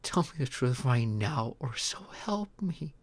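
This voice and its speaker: Voice actor as emotional, slow-paced, pleading live-in partner. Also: medium pitch, sing-song. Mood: angry, hurt. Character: upset, frustrated, caring live-in partner, pleading and hurt. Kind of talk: intimate ASMR roleplay voice acting, one side of dialogue.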